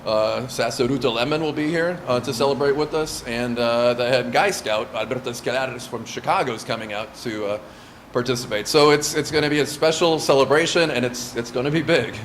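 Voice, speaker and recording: A man's voice: mid-range voice, fairly quick, slight background noise. A man from Southern California whose first language is Lithuanian, answering interview questions about a scout camp in an eager, casual way. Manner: eager, with restarts and light laughs.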